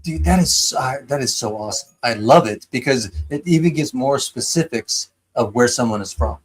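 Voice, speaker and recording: A man's voice: medium pitch, lively intonation, normal speed, breathy edge, poor audio. An American man from San Diego hosting a live stream, chatting enthusiastically with lots of fillers and restarts. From San Diego, United States. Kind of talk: casual chat.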